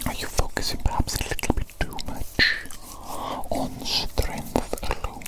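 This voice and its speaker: A woman playing a scheming evil genius doctor in an ASMR roleplay, whispering and musingly repeating phrases to a monstrous henchman. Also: close to an everyday voice. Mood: fussy, musing. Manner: repeats phrases slowly and deliberately, fussy and musing.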